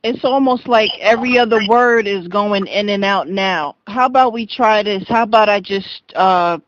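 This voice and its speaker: A man's voice: high voice, moderate pace, a bit bright, no echo. A man speaks casually as the interviewer on a telephone call. The line is dull and lo-fi, and his delivery is conversational. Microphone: phone call. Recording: muffled, dull phone line.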